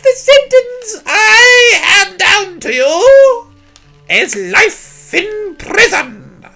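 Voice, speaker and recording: A man voicing an excitable, pompous little gnome king with a high, squeaky voice and long-winded delivery in a storybook reading. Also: extremely slow, gravelly, music in the background, heavily acted. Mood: grandly pompous. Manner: bombastic, long-winded speechifying.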